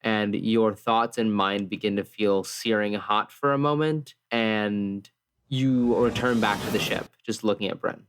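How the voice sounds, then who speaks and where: A man improvising story narration and casual table talk in a tabletop game, haltingly searching for phrases. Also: on the low side, steady tone, a bit bright, clean tone.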